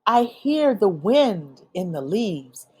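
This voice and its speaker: A woman reads a children's story aloud at a slow, deliberate pace. Her mid-pitched voice moves up and down a lot, phrasing each line expressively for young listeners. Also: very animated, mellow, husky. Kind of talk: children's picture book read-aloud.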